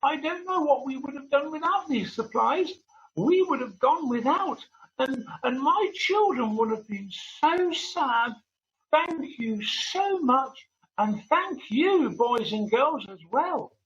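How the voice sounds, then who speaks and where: A kindly storybook woman who runs a children's home, acted out by a reader, gushing with gratitude. The voice is bright, tender and animated. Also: high voice, slow pace, lo-fi sound, exaggerated voice. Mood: grateful, relieved. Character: kind, grateful woman running a children's home.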